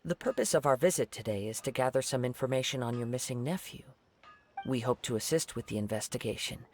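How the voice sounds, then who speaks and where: A man playing a calm young swordsman. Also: medium pitch, fast, natural voice. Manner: calm and polite. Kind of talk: game voice acting. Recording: game audio with faint music.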